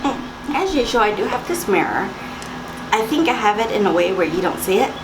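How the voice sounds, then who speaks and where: A young woman speaks in a raspy morning voice, chatty and instructive, walking viewers through a makeup tutorial. She talks at a middle pitch for a woman. A loud hiss and air-conditioner hum sit under her voice in a room at home. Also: animated, a little fast. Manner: chatty, instructive, with fillers and asides. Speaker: makeup vlogger. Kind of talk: makeup tutorial talk to camera.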